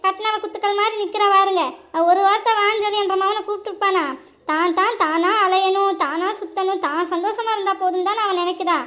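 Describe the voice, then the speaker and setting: This is a fussing middle-aged mother who nags and teases her grown children without a pause. Her voice sits very high and bright, and she talks quickly, played by a woman in a comedy skit. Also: fairly even intonation. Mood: complaining. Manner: scolding, teasing, fast. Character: nagging middle-aged mother, sharp-tongued.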